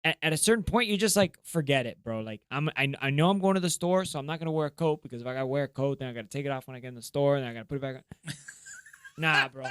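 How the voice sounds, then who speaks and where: A man's voice: medium pitch, racing, fairly bright tone, smooth, no room sound, clean studio sound. A man from Florida, born in Colombia, chatting casually as a podcast co-host in slangy talk full of "bro" and "like". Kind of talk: casual chat.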